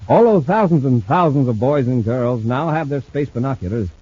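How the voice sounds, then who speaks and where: A man's voice: medium pitch, expressive, normal speed, warm tone, slightly rough, no room sound. An actor playing a brave male space commander, speaking in earnest, clipped 1950s radio drama style. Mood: urgent pitch. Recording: muffled old radio transcription.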